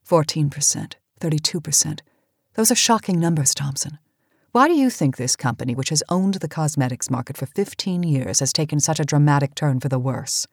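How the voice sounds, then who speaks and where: An actress playing an imperious, vain, middle-aged cosmetics queen in a campy audio drama, husky and cutting. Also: low-pitched, lively intonation, fairly quick, dry recording, clean studio sound. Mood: commanding. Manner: commanding, cutting, sardonic.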